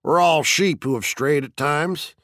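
A man's voice: fairly high pitch, measured pace, a bit bright, dry recording, studio quality, voice pushed up. A man reading an audiobook as a grandiloquent fire chief, needling and mock-jovial, with a sly, gleeful, declamatory delivery. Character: Beatty, taunting, grandiloquent male fire chief, slyly gleeful bully. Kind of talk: audiobook character acting.

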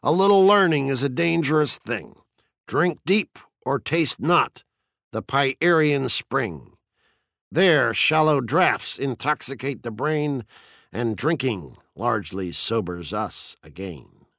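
A man's voice: medium pitch, expressive, slow, vocal fry, a bit bright, no room sound, studio quality, voice pushed up, full character voice. A man reading an audiobook as a grandiloquent fire chief, needling and mock-jovial, with a sly, gleeful, declamatory delivery. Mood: needling, grandiose. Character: Beatty, taunting, grandiloquent male fire chief, slyly gleeful bully. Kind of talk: audiobook character acting.

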